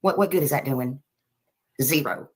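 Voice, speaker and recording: A woman's voice: a bass voice, moderate pace. A woman from Nashville with a Southern US accent, hosting a casual livestream in a folksy, rambling, polite way. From Nashville, United States. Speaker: livestream host.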